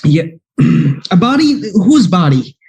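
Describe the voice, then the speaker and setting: A man playing a fretful private detective in a radio play, flustered and quick to exclaim. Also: high voice, very animated, darkish tone, exaggerated voice.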